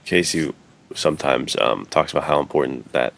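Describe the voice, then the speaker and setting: A man's voice: a bass voice, normal speed, gravelly, a bit bright. A man co-hosting a podcast in casual, slangy chat, often saying "dude" and "like". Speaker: podcast co-host.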